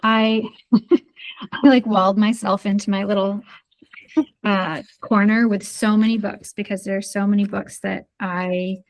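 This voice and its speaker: A woman talks through her thoughts in an unhurried podcast chat. Her pitch sits in the middle and barely moves, which gives her a flat, even delivery. She pauses often, with many "um"s and restarts as she works out what she means. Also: rough recording. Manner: thoughtful, hesitant, many fillers. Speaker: podcast guest. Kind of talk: casual conversation.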